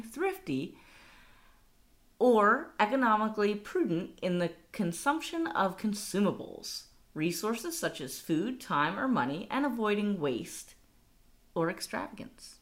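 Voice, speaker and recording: A woman's voice: mid-range voice, fairly bright tone. A woman co-hosting a casual money podcast, chatty and laughing often. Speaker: podcast co-host.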